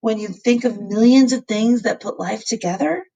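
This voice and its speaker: A woman's voice: on the high side, moderate pace, vocal fry, warm tone, distant mic, muffled recording, pitched above the performer's own voice. A woman voicing a curious young girl who is full of wonder and questions. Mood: admiring.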